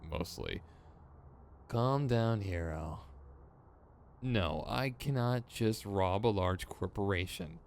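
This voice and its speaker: A man's voice: fairly low pitch, slow, vocal fry, long pauses, a little mellow. A man playing a theatrical, sardonic supervillain in a scripted ASMR roleplay, exasperated and smug, with mock menace and a grumbling, rambling streak.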